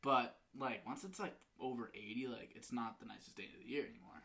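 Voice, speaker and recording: A man's voice: mid-range voice, brisk, vocal fry, a bit bright. A young man hosting a podcast in casual chat, with a light voice. He laughs often and rambles with lots of fillers. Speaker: podcast host.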